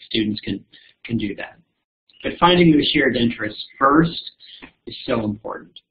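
A man speaking as an educator on a panel, telling school anecdotes with lively rises and hesitant fillers. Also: medium pitch, normal speed. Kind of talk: storytelling panel talk. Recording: dull lo-fi call audio.

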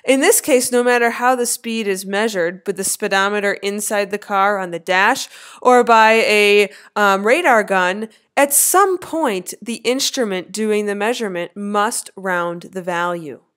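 A woman in her thirties narrating a slideshow lecture as an instructor, with clear, lively teacherly emphasis. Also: medium pitch, a little slow, few pauses. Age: 30s.

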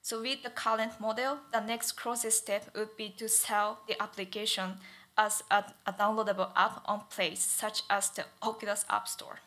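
A young woman presents her thesis at a lectern microphone on a stage, not speaking in her first language. Her delivery is careful and halting, with many fillers. Her voice is bright and clear at an ordinary pitch. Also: level tone. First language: not English. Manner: stutters, careful with frequent fillers. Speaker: student presenter. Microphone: lectern mic.

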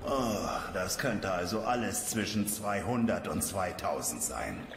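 An actor playing an earnest, wry warrior of an adventuring band in a fantasy radio play. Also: male voice, low voice, lively intonation, unhurried, creaky, slight background noise, full character voice. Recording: loud music behind.

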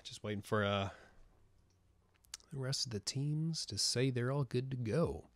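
A man casting a tournament match of a video game, with excited play-by-play and casual asides, sniffling from a cold. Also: mid-range voice, a little slow, dry recording. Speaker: esports caster. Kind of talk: game commentary.

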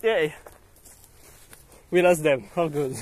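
Man, French native, high voice, very lively, giggly, outdoor handheld camera. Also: slow. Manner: giggly, coaxing, laughs often. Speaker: vlogger. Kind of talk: casual chat while hiking. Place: outdoors on a dirt hillside path. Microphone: handheld camera filming while walking.

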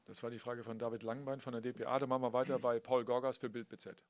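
A man moderating a press conference, brisk and polite as he hands the word on. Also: mid-range voice, level tone.

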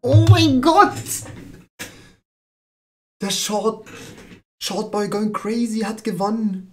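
A man's voice: high voice, animated, slow pace. A young man with a German accent giving casual live reaction commentary as a streamer. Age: young adult. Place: small room at home. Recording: music behind the voice.

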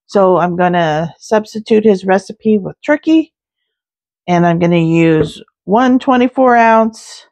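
A woman talks her way through a recipe in her home kitchen, unhurried and easygoing, pausing with little fillers between steps. Her voice sits at an ordinary middle pitch, and she takes her time with every word. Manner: slow, relaxed step-by-step talk with fillers. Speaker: home cook, channel host. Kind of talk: casual cooking narration.

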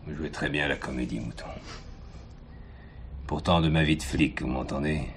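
An actor playing a blunt, sardonic, hard-nosed police commissioner who bullies a suspect in a French radio crime drama. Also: male voice, extremely low pitch, normal speed. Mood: hard, accusing. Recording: noisy old radio drama recording.

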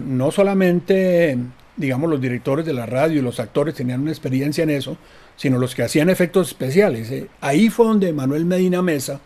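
Husky older man explaining. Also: on the high side, darkish tone. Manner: explanatory, listing examples. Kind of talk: reminiscing interview.

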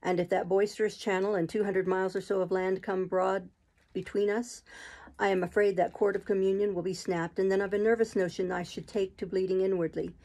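A woman voicing a brooding middle-aged gentleman, the master of the house, speaking low and earnestly in an audiobook of a classic novel. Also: level tone, a little fast, natural voice.

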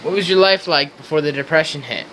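A man's voice: on the high side, quick pace, bright, forceful tone, a little hiss or hum, poor audio. A young man playing an earnest 1930s radio host, reading scripted interview questions in an amateur skit. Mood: formal. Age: young adult.

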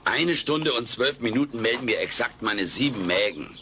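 An actor playing a cheeky, impatient, food-obsessed furry alien, wisecracking theatrically in a comedy audio play. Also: male voice, medium pitch, expressive, moderate pace, lightly acted. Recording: noisy old cassette transfer.

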